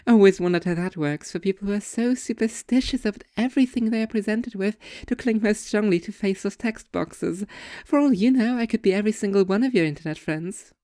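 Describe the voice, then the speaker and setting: A woman's voice: mid-range voice, fairly quick, dark tone, no room sound, clean studio sound, close to an everyday voice. A woman playing a blunt young waitress in an audio story, casual, teasing and sarcastic. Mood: needling.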